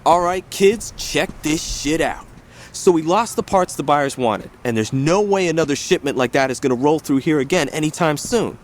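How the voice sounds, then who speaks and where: A man's voice: a little high, lively intonation, moderate pace, a little hiss or hum, close to an everyday voice. An actor playing a flaky, eager young male gang mechanic in a video game, with loose, slangy talk. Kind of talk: video game cutscene acting.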